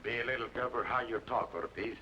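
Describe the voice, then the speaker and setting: A man's voice: medium pitch, fast, bright, forceful tone, natural voice, low-quality recording. An actor playing a stern posse man with a clipped, threatening manner, in 1940s radio acting. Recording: hissy old radio broadcast.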